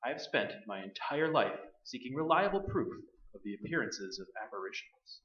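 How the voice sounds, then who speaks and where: A man in a staged radio play, playing a solemn, pompous doctor of psychic research with formal, deliberate speech. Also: medium pitch, sing-song, fairly bright tone. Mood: grave. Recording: roomy stage recording, distant, dull.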